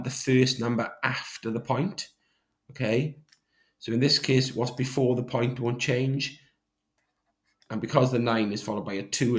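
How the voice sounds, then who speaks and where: A male maths teacher with a hoarse voice from a sore throat, talking students through a revision sheet step by step. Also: medium pitch. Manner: step-by-step explanations, checking with "right?". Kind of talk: recorded revision walkthrough.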